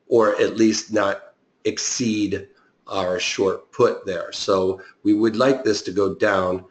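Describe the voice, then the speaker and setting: A man with a raspy voice explaining trading strategy in a live online lesson, often pausing with fillers and restarting his sentences. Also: deep voice, reverberant. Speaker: options trading instructor.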